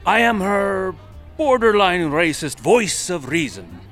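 A man's voice: sits high, very animated, slow pace, music in the background, full character voice. A man playing a jovial imaginary sidekick. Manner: playful, drops in stock Spanish words. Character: cheesy imaginary man with put-on Spanish phrases. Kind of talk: audio drama acting.

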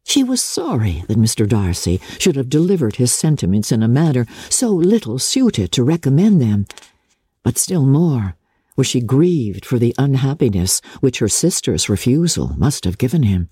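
A woman narrating a classic novel in a measured, formal and expressive dramatic reading. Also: very deep voice, breathy edge, dry recording. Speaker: audiobook narrator.

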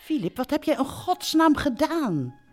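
Scatty gushing park manager lady, swoopy highish voice, comic radio play. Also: sing-song, unhurried. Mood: alarmed. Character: scatty, effusive female holiday park boss. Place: theatre stage before live audience. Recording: music behind, live theatre stage.

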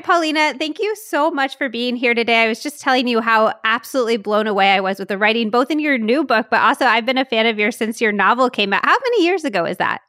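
A woman's voice: on the high side, fairly bright tone, no echo. Bubbly woman host with a dry close sound. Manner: bubbly, effusive. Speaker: podcast host. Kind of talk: enthusiastic interviewing and ad read.

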